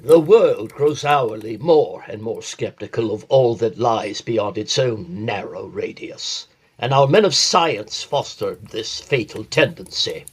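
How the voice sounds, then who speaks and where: A cultivated gentleman traveller in a period radio play. He is formal and articulate with a wry edge. His mid-range voice moves with lively expression. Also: medium pitch, unhurried, husky. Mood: reflective. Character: well-bred, wry gentleman traveller recounting his tale. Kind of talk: period audio drama, storytelling and dialogue.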